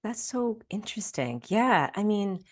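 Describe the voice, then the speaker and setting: A woman's voice: medium pitch, very animated, measured pace. A woman hosting a podcast interview, responding enthusiastically and rambling a little as she shapes her next question. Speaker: podcast host. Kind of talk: casual interview.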